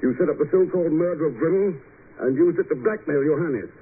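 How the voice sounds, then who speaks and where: A man's voice: on the high side, lightly acted. Man acting a composed spy hero, tinny radio. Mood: accusing, sure. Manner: measured, authoritative. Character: composed American secret agent hero, stern and knowing. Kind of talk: 1950s radio drama acting. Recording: scratchy, tinny 1950s radio recording.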